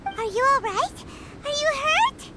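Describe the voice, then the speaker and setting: A woman voicing a tiny childlike fairy companion in an anime video game, cheerful and excitable. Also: extremely high pitch, unhurried, heavy background noise, heavily acted. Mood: worried. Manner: exclamatory, speaks of self in third person.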